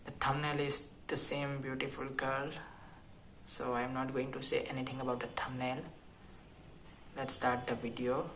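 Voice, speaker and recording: A man's voice: medium pitch, completely flat delivery, moderate pace, a little hiss or hum, rough recording. A man from India, Gujarati by birth, chatting casually and hesitantly as he reacts to a video. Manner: chatty, hesitant with fillers, self-deprecating. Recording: music playing loudly behind. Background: video music.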